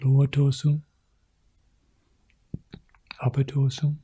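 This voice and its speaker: A man leading a guided Buddhist meditation as a teacher, hushed and pausing between short phrases. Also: mid-range voice, monotone, slightly rough.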